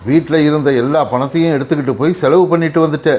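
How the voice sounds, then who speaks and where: A man's voice: a little high, fairly even intonation, fast, darkish tone, natural voice. A man voicing a hardworking, long-suffering father crow in a cartoon story, resigned and reproachful. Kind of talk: Tamil animated moral story acting.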